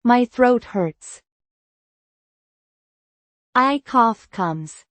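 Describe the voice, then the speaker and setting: A woman reading short English lesson phrases in a slow, even drill style. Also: on the high side, dry recording. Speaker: English teacher voice.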